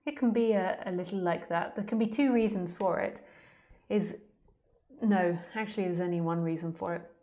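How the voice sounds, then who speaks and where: Woman, lowish pitch, spiritual teacher, informal question-and-answer talk, candid, plain-spoken, conversational. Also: moderate pace, dark tone.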